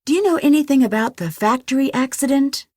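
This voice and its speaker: An actress with an American accent playing an inquisitive, determined young amateur detective in a video game, speaking clearly and questioningly. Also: female voice, high voice, a little fast, darkish tone, dry recording, clean studio sound, lightly acted. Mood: curious, probing. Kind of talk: scripted video game dialogue.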